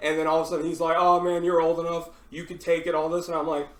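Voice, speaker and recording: A man's voice: a little high, monotone, racing, echoey room, far from the mic. A man in his twenties, a podcast host, chatting casually, laughing often and acting out people in his stories. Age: 20s. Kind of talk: casual chat.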